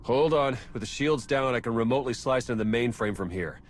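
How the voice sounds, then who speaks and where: An actor playing a quick-witted, wry male tech operative in a video game, with an urgent edge. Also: mid-range voice, a little hiss or hum, lightly acted. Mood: urgent, focused. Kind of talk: video game cutscene acting.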